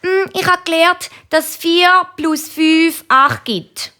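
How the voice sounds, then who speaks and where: A woman's voice: very high-pitched, slow, pushed and bright, pitched above the performer's own voice, heavily acted. A woman voicing cheeky, naive little schoolchildren in jokes, with a Swiss accent and an eager, sing-song way of talking. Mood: pleading, cheeky.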